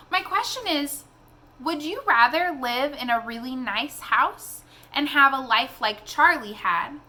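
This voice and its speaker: A woman reading a children's novel aloud in an expressive storytelling style. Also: sits high.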